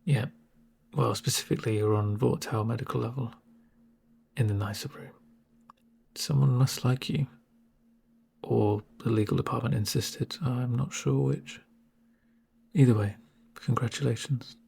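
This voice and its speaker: A man acts out a gentle male medic in an ASMR roleplay. The voice is very breathy and soft, with a gravelly creak underneath. He speaks slowly and soothingly, slipping in deadpan, sardonic asides. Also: medium pitch. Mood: dry, wry. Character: calm male corporate medic, gentle, reassuring, drily sardonic. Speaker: medic caring for a patient.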